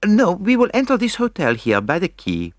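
Fussy little male detective with a French accent; wildly swooping pitch, theatrical, halting, storybook. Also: huge pitch swings, no echo, clean studio sound. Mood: polite, firm.

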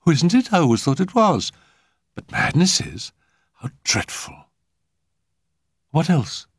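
A man's voice: on the high side, very animated, measured pace, breathy edge, no room sound, studio quality, pitched above the performer's own voice, exaggerated voice. A man voicing a vague, gushing society lady in a storybook, with a fluttery, sighing manner. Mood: vague, dismayed.

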